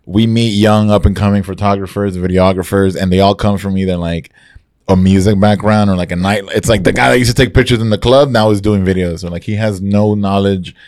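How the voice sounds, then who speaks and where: Deep-voiced man in slangy casual chat. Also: steady tone, fairly quick, darkish tone, dry recording, studio quality. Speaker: interviewer, podcast co-host.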